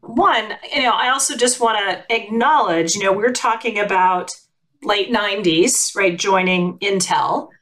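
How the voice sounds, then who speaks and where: A woman's voice: mid-range voice, measured pace, a bit bright. A woman hosting an interview, asking questions and affirming her guest's story. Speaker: host.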